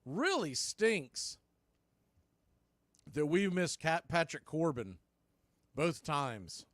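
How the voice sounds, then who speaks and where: Slightly high male voice, dry close sound, baseball play-by-play, clipped calls, conversational asides. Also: sing-song, drawn-out words, a bit bright, dry recording. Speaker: baseball radio play-by-play announcer. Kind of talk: live sports commentary.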